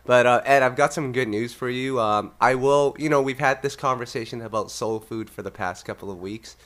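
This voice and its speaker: A man's voice: medium pitch, brisk, fairly bright tone. A man with a nasal, congested voice hosting a casual music podcast. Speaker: podcast host.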